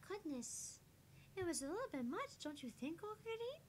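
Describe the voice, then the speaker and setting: A high, genteel teasing lady. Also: sing-song, moderate pace, a little hiss or hum. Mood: playful chiding.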